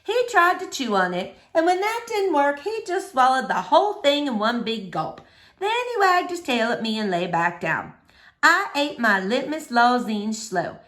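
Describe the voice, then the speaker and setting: A woman narrates a children's storybook in expressive read-aloud style. Her voice sits fairly high and swings widely in pitch from phrase to phrase. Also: moderate pace. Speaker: storybook reader.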